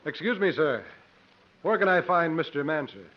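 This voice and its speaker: Commanding male adventurer, brisk and confident, slightly high voice, muffled 1950s radio drama. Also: lively intonation. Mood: determined. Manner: brisk, commanding, self-assured. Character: bold, confident strongman showman, male adventurer.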